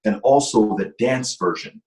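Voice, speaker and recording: A man who works as a mind-body coach, a guest in a casual interview, explaining things in a relaxed way and repeating words. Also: fairly low pitch, unhurried. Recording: echoey from a distance.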